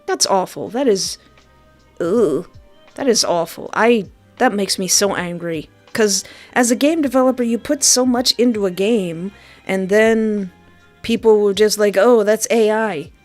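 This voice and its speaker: A woman's voice: medium pitch, unhurried. Chatty woman livestreamer over background music. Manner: effusive, informal, reacting aloud to chat messages. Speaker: livestream host and game developer. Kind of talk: casual livestream chat with viewers. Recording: soft music behind the voice.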